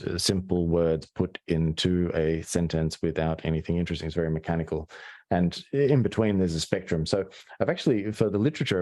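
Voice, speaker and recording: Low, mellow Australian man presenting. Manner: hesitant, full of restarts and fillers. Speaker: webinar presenter. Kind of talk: conversational webinar presentation.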